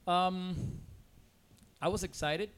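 A man in his forties from Costa Rica with a Cuban accent in English, a native Spanish speaker, chatting casually and haltingly as a podcast guest. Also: high voice, a bit bright, clear, resonant tone. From Costa Rica, born in Cuba. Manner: hesitant, rambling, many "you know"s. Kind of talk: casual conversational interview.

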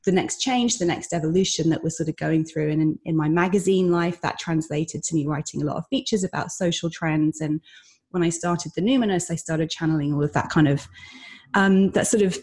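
A woman's voice: sits low, brisk, darkish tone, husky. A woman hosting a podcast interview, talking in a reflective, rambling way with many fillers and restarts. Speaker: podcast host. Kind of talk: conversational interview.

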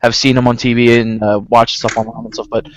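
A man's voice: mid-range voice, level tone, quick pace. A man hosting a casual podcast chat in a jokey, loose, informal way. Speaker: podcast host, musician.

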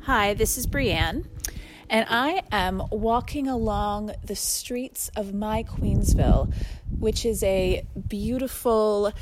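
A woman reading scripture aloud in a measured, deliberate way, recorded outdoors while walking along a street. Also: mid-range voice. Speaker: scripture reader. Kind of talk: read-aloud scripture with spoken introduction. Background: street noise.

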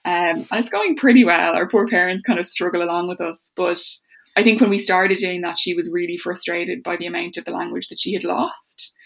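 A woman with an Irish accent talks in a lowish, gravelly voice. She is a legal academic being interviewed on a podcast, and she speaks in long, animated run-on stretches full of "like" and "kind of". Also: fairly quick.

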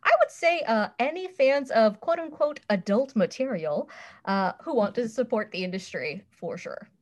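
A woman's voice: a little high, lively intonation, moderate pace, a little mellow. A woman on a podcast panel chatting casually in a candid, playful, self-deprecating way.

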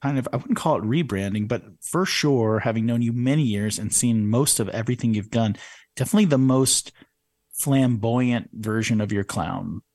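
A man's voice: medium pitch, normal speed, a little mellow, no room sound, clean studio sound. A man co-hosting a podcast, chatting casually and chiming in supportively. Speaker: podcast co-host.

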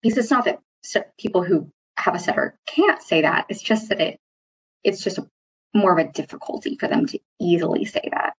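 A woman with a slightly low, husky voice answers interview questions reflectively. She phrases things in a thoughtful, halting way, rephrasing as she goes, with a mild stutter that surfaces only occasionally. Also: lively intonation, poor audio. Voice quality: mild, mostly covert stutter with occasional repeated starts. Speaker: interview guest, employee speaking on stuttering.

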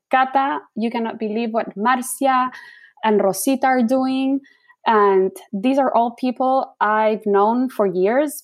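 A woman's voice: medium pitch, darkish tone. Woman guest with a Chilean accent, Spanish native, mid-range voice, earnest storyteller. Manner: earnest, searching for words. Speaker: guest, design founder.

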